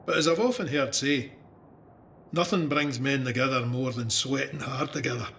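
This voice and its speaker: A man's voice: medium pitch, normal speed, creaky, a bit bright, slight background noise. An actor playing a plain-spoken Ayrshire farmer and war veteran, telling his memoir in a reflective, conversational Scottish voice. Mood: steady recollection. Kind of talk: dramatised first-person memoir narration.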